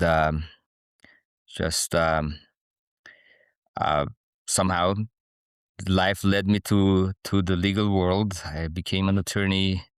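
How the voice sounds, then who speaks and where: Slow, smooth-voiced man in his forties. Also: low voice, no echo, clean studio sound. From Armenia. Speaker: guest, attorney. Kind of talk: remote interview.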